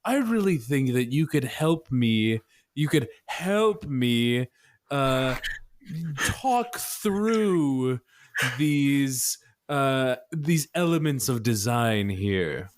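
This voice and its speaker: A man's voice: medium pitch, sing-song, extremely slow. A man voicing a tall, sly infiltrator in a tabletop game, bluffing in a flowery, affectedly cultured manner. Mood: affected admiration.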